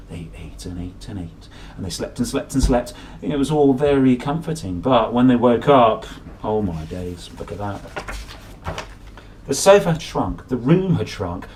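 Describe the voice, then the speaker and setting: A man in his thirties reading a children's picture book aloud, playful and dramatic with drawn-out emphasis. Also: mid-range voice, darkish tone, a little hiss or hum. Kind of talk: animated children's story reading. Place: small room at home, at a desk.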